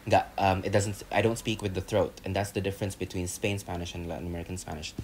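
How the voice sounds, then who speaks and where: A young man from Indonesia with a very low voice talks bluntly and emphatically. He is a guest on a casual podcast, pushing listeners to stop worrying and just go for it. A faint hiss sits behind him. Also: little pitch movement, fast talker, a little mellow.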